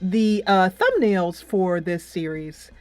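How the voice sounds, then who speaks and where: A woman's voice: on the low side, expressive, takes it slowly. A woman hosting a casual game review, talking in a chatty, loosely structured, emphatic way. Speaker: game reviewer and channel host. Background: music.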